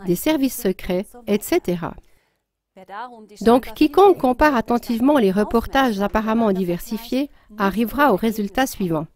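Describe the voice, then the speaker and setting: A woman's voice: on the high side, darkish tone. A woman reading a scripted news commentary as a voice-over, in an earnest, emphatic tone.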